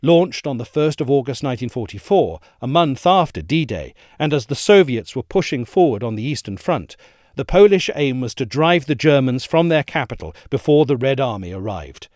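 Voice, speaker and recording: A man giving a measured, articulate scripted narration of a history essay. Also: medium pitch, big pitch swings, a little mellow, no room sound, studio quality. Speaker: essay reader.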